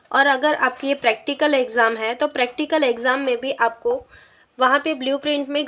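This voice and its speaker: A woman's voice: high voice, fairly bright tone. A woman giving a practical demonstration lesson as a biology teacher, explaining in an instructional way and repeating steps for students.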